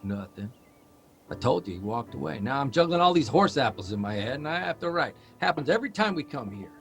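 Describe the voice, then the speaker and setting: An actor playing a restless, self-doubting male writer in a live audio drama, rambling and halting as he questions himself. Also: medium pitch, sing-song, vocal fry, a little hiss or hum. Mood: fretful.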